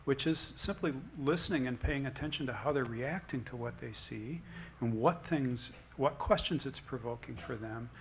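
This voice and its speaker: A man on a discussion panel answers in a thoughtful, measured way. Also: medium pitch, a little hiss or hum, low-quality recording.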